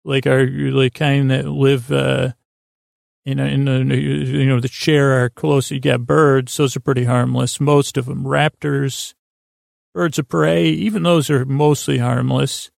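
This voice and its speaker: A man with a creaky, gravelly voice hosting a sleep podcast in a meandering, halting monologue that keeps interrupting itself. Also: medium pitch, level tone, no room sound, studio quality. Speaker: podcast host.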